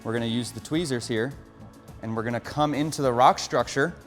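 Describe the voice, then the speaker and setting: A man's voice: mid-range voice, a little fast, big-room sound, faint music behind. An American man hosting a casual hobby vlog, upbeat and coaching, explaining each step plainly. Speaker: aquarium hobbyist host.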